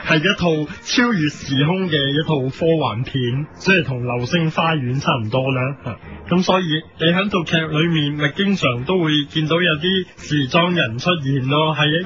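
A man voicing a casual newspaper pundit in a radio comedy, explaining things away in drawn-out Hong Kong Cantonese-accented talk. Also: fairly high pitch, voice pushed down, lightly acted. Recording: lo-fi radio recording with music behind.

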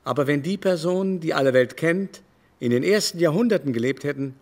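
An actor in a German radio play voicing a vain, haughty older baron with a mannered, theatrical, confiding delivery. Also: male voice, fairly high pitch, expressive, darkish tone. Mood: lecturing. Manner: mannered, theatrical, drawn-out emphasis.

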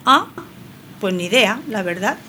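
Actress playing a bright-voiced, irritable schoolteacher woman. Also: medium pitch, lively intonation, measured pace, slight background noise. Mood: innocent, breezy. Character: new schoolteacher woman, quick to anger, fiery when irritated. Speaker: teacher. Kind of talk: audio drama acting.

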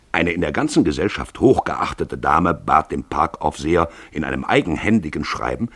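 A man with a low, husky voice narrates a literary radio play. His delivery is dramatic and swings widely in pitch, recorded close and dry. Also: dry recording. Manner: dramatic storytelling.

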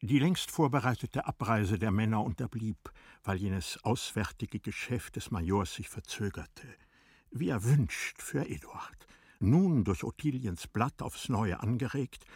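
A man narrating a literary audio drama in a grave, deliberate way, pausing between short phrases. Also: lowish voice, darkish tone, husky, no room sound, clean studio sound.

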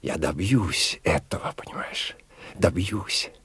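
Male, anguished, obsessive husband in a radio play; husky, dry studio sound, impassioned repetitions. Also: deep voice, pushed and bright, no room sound, whispered, full character voice. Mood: desperate resolve. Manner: halting, repeats himself, impassioned. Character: desperate, bitter husband from the provinces, obsessively in love. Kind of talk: audio drama acting.

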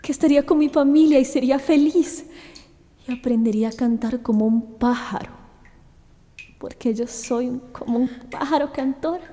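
An actress playing a gentle, hopeful young woman who survived captivity, in a radio drama. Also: sits high, moderate pace. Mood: tender hope.

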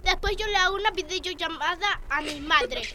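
A woman's voice: very high voice, moderate pace. A young girl play-acting in an improvised amateur radio play, bossy and emphatic, on a noisy recording. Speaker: drama student.

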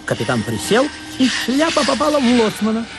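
Guy narrating radio play, high lively voice, very dramatic, music behind. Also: a little fast. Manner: expressive, dramatic. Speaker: narrator and first-person character.

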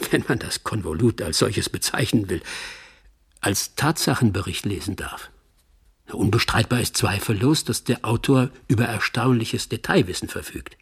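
An actor playing a measured, sceptical middle-aged Hollywood agent who narrates a radio drama, choosing his words deliberately. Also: fairly low pitch, gravelly, husky, full character voice. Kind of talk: reflective first-person radio drama narration.

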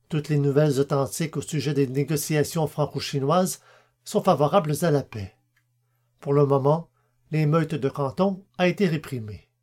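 A man's voice: on the high side, a little mellow. A man hosting a podcast, reading scripted narration and announcements. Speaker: podcast host and reader.

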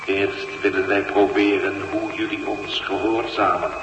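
An actor playing a cold, imperious alien master who gives slow, deliberate commands through heavy reverb in a radio drama. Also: male voice, fairly low pitch, level tone. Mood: cold, commanding. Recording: long reverb, clear hum and noise.

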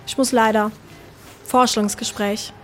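A woman's voice: a little high, fairly even intonation, unhurried, fairly bright tone, faint music behind. An actress playing a concerned, practical young woman in a radio drama, earnest and urging.

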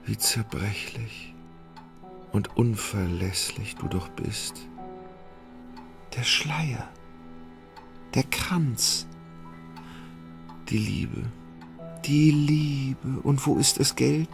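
An actor in a radio play, playing an anxious, indolent, melancholy gentleman in love, hesitant and sighing. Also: mid-range voice, extremely slow, gravelly, long pauses, over music.

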